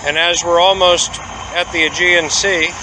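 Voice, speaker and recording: Man, travel vlogger, slightly high voice, loose rambling delivery, outdoor recording with street noise. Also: fairly even intonation. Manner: rambling, many "uh" pauses, easygoing. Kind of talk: casual travel vlog narration. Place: outdoors at a port waterfront.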